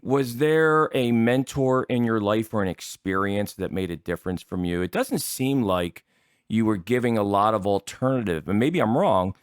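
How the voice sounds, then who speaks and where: An American man hosting a casual interview, asking rambling questions in a halting, searching way. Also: on the low side, clear, resonant tone, dry recording, studio quality. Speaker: show host, interviewer.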